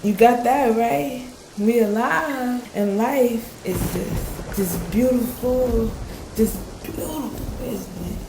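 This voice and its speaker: Woman playing a slow, creaky-voiced, theatrical fisher. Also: a little high, background noise. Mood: euphoric, awestruck. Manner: dramatic, drawn-out, emphatic. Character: awestruck, theatrical fisher who survived a storm. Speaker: voice actor. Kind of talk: radio play acting, dramatic storytelling. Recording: echoey, with music underneath.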